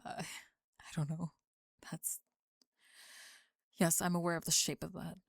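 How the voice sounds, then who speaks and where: A woman playing a guarded, bookish young student in an ASMR roleplay, sharp-tongued but shy, with a halting, dry delivery. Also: fairly low pitch, little pitch movement, gravelly, breathy edge, dry recording, pitched below the performer's own voice, full character voice. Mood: guarded, rueful. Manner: halting, dry, self-aware.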